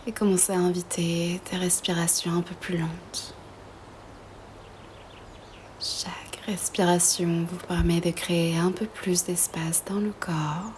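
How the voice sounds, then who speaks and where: Very slow, soft, breathy woman guiding meditation. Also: on the low side, little pitch movement, slight background noise. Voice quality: soft, breathy, often half-whispered. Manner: soothing, gentle, long pauses between phrases. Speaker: yoga nidra guide.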